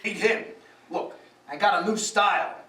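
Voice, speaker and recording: Man playing a high-pitched bumbling radio husband. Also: fairly even intonation, normal speed, pushed and bright. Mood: eager. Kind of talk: old-time radio comedy acting. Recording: echoey live stage, distant, faint noise.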